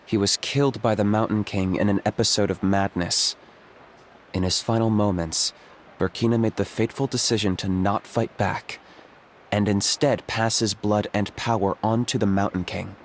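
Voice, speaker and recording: Man voicing a stoic, deadpan young warrior. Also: on the low side, slight background noise, close to an everyday voice. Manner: deadpan, calm and measured. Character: stoic young male warrior, blunt and practical. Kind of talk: video game voice acting.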